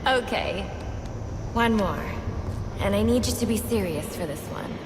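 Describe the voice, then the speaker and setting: A woman playing a composed prison psychiatrist with a calm, probing, clinical manner in a video game cutscene. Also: medium pitch, measured pace, long reverb tail, background noise, close to an everyday voice. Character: composed female prison psychiatrist questioning a patient. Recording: loud music under the voice.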